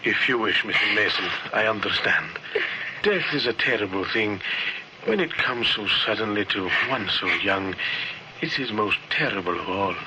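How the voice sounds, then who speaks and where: An actor playing a solemn, consoling family doctor in a vintage radio drama. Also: male voice, fairly low pitch, lively intonation, moderate pace, close to an everyday voice. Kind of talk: 1950s radio drama acting. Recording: very noisy old radio recording.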